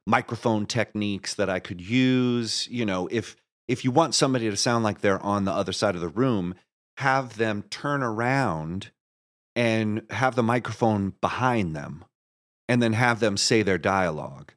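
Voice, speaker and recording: A man's voice: fairly low pitch, moderate pace, fairly bright tone. A man speaking as an interview guest in a casual chat, explaining his creative work with an enthusiastic, storytelling manner.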